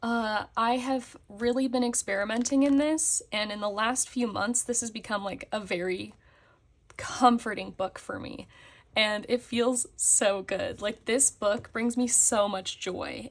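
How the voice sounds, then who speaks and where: A young woman, slightly hoarse after a concert, chatting casually as she shows her planners on camera. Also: on the high side, unhurried. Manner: chatty, with fillers and frequent laughs. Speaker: planner vlogger. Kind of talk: casual show-and-tell chat. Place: indoors at a desk, hands on a table.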